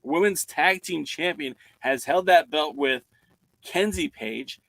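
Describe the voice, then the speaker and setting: Bright-voiced man hosting a podcast. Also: a little high, slow pace, gravelly, no echo, rough recording. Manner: steers the talk, moves topics along. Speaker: podcast host.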